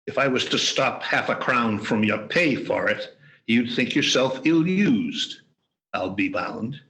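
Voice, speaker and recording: A man's voice: mid-range voice, lively intonation, measured pace, sharp tone, poor audio. A man playing a miserly, grumpy old man, curt and scornful, in an amateur radio play over a video call. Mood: grumbling.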